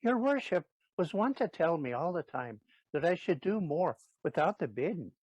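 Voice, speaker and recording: A man's voice: fairly high pitch, big pitch swings, moderate pace, a little mellow, breathy edge, no room sound. A man playing a sentimental, cheeky servant clown in a Shakespearean audio play. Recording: dull, dry lo-fi recording.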